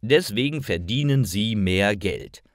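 A man reading the part of a calm, courteous male company boss in a storybook. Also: lowish voice, a little slow, no echo, studio quality.

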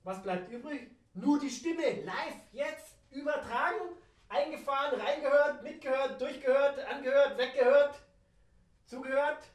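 Female dada monologuist, southern German or Austrian accent, fairly high pitch, rambling and repetitive, roomy distant sound. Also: a little slow. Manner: repetitive, playful, rambling wordplay. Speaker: radio play performer. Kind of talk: improvised absurdist spoken-word monologue. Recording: roomy echo, distant microphone.

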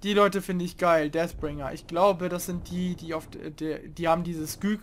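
A young man hosting a casual let's play, chatting and grumbling loudly about teammates as he plays. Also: fairly high pitch, fairly even intonation, normal speed, slight background noise. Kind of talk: casual gaming commentary.

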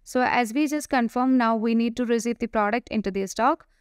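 A woman presenting a software tutorial, explaining step by step in a halting, improvised way over a screen recording. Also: on the high side, clear, resonant tone, no echo, studio quality. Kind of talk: spoken walkthrough of a software demo.

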